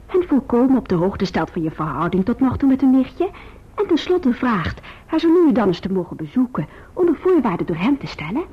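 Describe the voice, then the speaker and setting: A sensible, sisterly young woman. She advises in a soothing, measured way, as in radio drama, on a dull old recording. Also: fairly high pitch, big pitch swings, breathy edge. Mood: gentle, reassuring.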